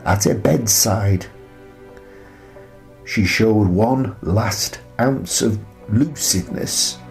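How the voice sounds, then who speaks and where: An actor playing a bereaved, tender old widower in a wistful, halting, reflective radio play monologue. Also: male voice, fairly low pitch, darkish tone. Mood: grieving, emotional. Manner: slow, halting, reflective delivery.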